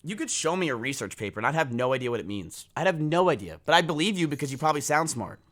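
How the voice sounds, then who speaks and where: Young male streamer, New Jersey accent, deep and forceful voice, blunt joking delivery. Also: sing-song, fast talker. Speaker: streamer reacting to a video. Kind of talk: casual live reaction commentary.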